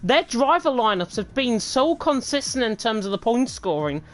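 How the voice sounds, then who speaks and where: A woman co-commentating an online racing league, talking quickly and leaning hard on the numbers. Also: on the high side. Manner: fast-talking, lively and emphatic. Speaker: esports race commentator. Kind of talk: live sim racing commentary.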